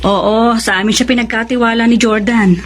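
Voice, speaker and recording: An actress playing a kindly, soft-hearted older sister in a radio drama, gentle and hesitant in manner. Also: female voice, a little high, steady tone, normal speed, slight background noise, close to an everyday voice. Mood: cautious, hesitant. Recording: music playing behind the voice.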